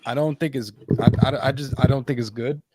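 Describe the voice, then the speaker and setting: A man hosting a casual political chat over a video call, pausing with lots of fillers and restarts. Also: medium pitch, fairly even intonation, a little mellow, dry recording. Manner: halting, many fillers and restarts. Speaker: podcast host.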